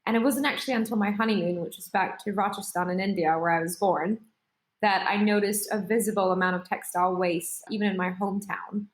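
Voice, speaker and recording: A woman's voice: medium pitch, steady tone. Founder in an echoey room, woman. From Singapore. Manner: articulate, measured storytelling. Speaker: startup founder, guest. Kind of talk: podcast interview.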